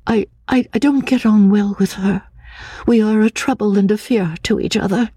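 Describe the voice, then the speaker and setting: Frail, troubled young woman played by a female reader: high, airy, hesitant, childlike, for a classic audiobook. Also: fairly even intonation, warm tone, husky, dry recording, clean studio sound, close to an everyday voice. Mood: wistful, troubled. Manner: halting, stammering, repeating words anxiously. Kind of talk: dramatic audiobook reading of classic literature.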